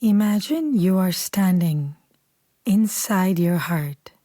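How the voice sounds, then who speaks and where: Mellow, soothing woman guiding a meditation. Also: on the low side, dry recording, studio quality. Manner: calm, unhurried, soothing. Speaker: meditation guide. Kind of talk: guided meditation, slow scripted instruction.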